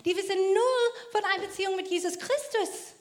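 High-voiced woman, earnest testimony. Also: normal speed. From Australia. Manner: earnest and emphatic, in non-native German with loose grammar. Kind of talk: passionate personal testimony talk.

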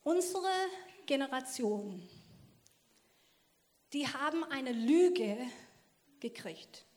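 High-voiced woman, earnest testimony. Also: expressive, extremely slow. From Australia. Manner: earnest and emphatic, in non-native German with loose grammar. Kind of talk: passionate personal testimony talk.

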